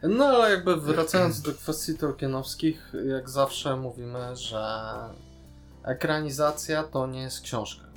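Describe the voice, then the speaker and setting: Guy on a podcast, casual but opinionated, normal pitch, soft guitar music underneath. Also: measured pace. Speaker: podcast co-host.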